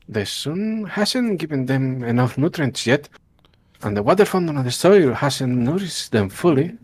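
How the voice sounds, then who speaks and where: A man's voice: mid-range voice, big pitch swings, unhurried, a little mellow, slight background noise, pitched above the performer's own voice. A man voicing an earnest, somewhat reluctant young student in a dramatic comic read-aloud. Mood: dutiful, glum.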